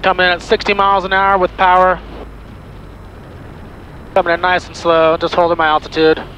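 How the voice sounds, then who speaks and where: A young man pilot talking through his approach and landing into a headset microphone in a small plane cockpit, excited and step by step. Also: high-pitched, brisk, poor audio. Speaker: pilot vlogger. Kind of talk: in-flight commentary. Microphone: aviation headset. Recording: engine noise under headset mic.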